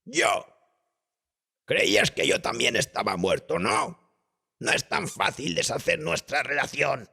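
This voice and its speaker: Raspy, bitter old drunkard, Castilian Spanish. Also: male voice, medium pitch, very animated, measured pace, long pauses, pitched above the performer's own voice, heavily acted. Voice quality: raspy, strained, gravelly. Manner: dramatic, erupting from hisses into shouts. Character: bitter old drunken bald wretch, defiant and accusing. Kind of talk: dramatised audiobook horror tale.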